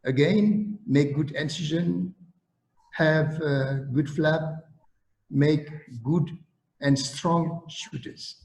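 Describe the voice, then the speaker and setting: A man with a Senegalese accent giving an online lecture on surgery, speaking deliberately and halting with fillers. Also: a little high, vocal fry, darkish tone, reverberant, low-quality recording.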